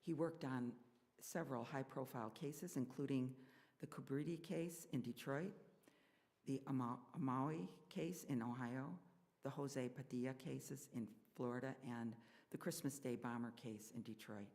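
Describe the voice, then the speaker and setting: A man leading a church service, reading and introducing in a measured, formal way to a congregation. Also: mid-range voice, a little mellow. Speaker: service leader introducing the guest speaker. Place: church hall. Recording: echoey hall with long reverb.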